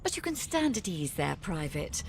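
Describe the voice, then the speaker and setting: A woman's voice: lowish voice, sing-song, normal speed, slight background noise. A woman playing a smug, condescending jailer in a video game, teasing and patronising with mock sweetness. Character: smug, condescending female prison-ship magister, mockingly sweet jailer.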